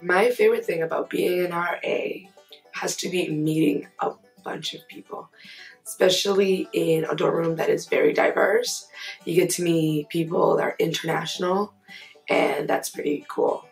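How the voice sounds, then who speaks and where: A woman's voice: on the low side, steady tone, normal speed, vocal fry, soft background music, low-quality recording. A young woman from Queens, a college resident assistant, giving candid interview answers with casual fillers. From Queens, New York. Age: young adult. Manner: candid, talkative, with fillers like "honestly". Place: indoors before a plain grey backdrop.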